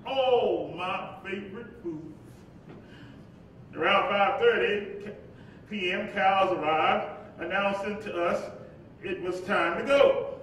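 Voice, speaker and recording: Slow, high-voiced preacher's spirit reminiscing , man acting. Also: a bit bright. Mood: fond, amused. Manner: slow, savoring storyteller delivery. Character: spirit of a famous preacher, reminiscing fondly and wryly. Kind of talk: staged reading monologue. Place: hall with microphone on stand at lectern. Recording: echoey hall, distant, faint rumbling noise.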